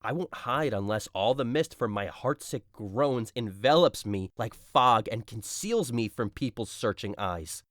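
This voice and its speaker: A man's voice: medium pitch, lively intonation, measured pace, hardly any pauses, a bit bright, no echo, clean studio sound. A man playing a lovesick, despairing young man in a modern-language Shakespeare audio drama, melodramatic and anguished.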